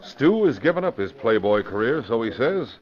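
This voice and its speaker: An actor playing a genial police commissioner in a radio drama, talking in an earnest, courteous way. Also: male voice, medium pitch, sing-song, moderate pace, lightly acted. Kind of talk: 1940s radio acting. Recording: dull vintage radio broadcast recording.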